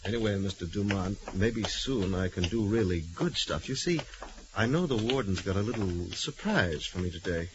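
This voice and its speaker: A man's voice: on the low side, lively intonation, moderate pace, lightly acted. A man playing a hesitant, secretive, grateful convict artist in a 1940s radio drama. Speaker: radio drama supporting actor. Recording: noisy, dull vintage radio recording.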